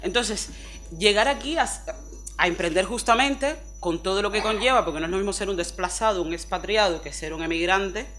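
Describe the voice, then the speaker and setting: A woman's voice: medium pitch, a little fast, faint music behind. Cuban woman, chatty panel speaker. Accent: Cuban Spanish. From Cuba. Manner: expressive, chatty, frequent tag questions. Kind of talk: panel discussion talk. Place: forum panel on stage.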